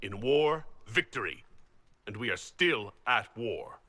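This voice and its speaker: An actor playing a resolute leader in a fantasy video game, speaking firmly and formally in measured phrases. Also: male voice, fairly low pitch, very animated, pushed and bright, exaggerated voice. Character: commanding, resolute young leader of a holy war effort.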